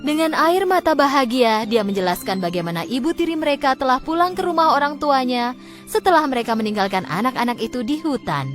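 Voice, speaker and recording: Female storyteller for children's fairy tales: fairly high voice, very lively intonation, expressive scripted narration. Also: brisk, soft background music. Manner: expressive, dramatic storytelling delivery.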